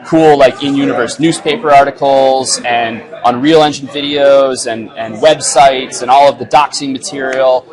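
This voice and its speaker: A man's voice: mid-range voice, steady tone, normal speed, few pauses, crowd noise, rough recording. A man who is a comics creator, explaining a project in a casual interview as a guest. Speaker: comics writer and film director, interview guest. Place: live at a comics convention.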